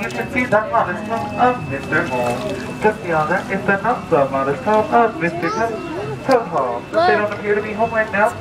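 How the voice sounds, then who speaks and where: A man working as a boat ride guide, narrating a scripted storytelling tour with theatrical, drawn-out emphasis on key words. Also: high-pitched. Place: outdoors aboard a tour boat. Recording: distant amateur recording with loud background music. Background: music and ride ambience.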